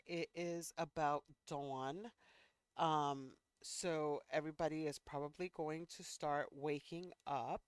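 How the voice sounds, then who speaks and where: A woman hosting a let's play, chatting casually and unhurriedly with fillers, a bit sniffly. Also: lowish voice. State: sniffly, slightly congested. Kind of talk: casual gameplay commentary.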